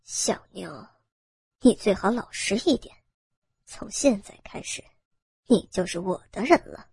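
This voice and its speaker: A woman voicing a lecherous, smug male gang boss in an audio story, taunting and menacing, with a husky edge. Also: a little high, animated, moderate pace, darkish tone, no room sound, studio quality, voice pushed down, exaggerated voice. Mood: leering, menacing. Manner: taunting, sneering.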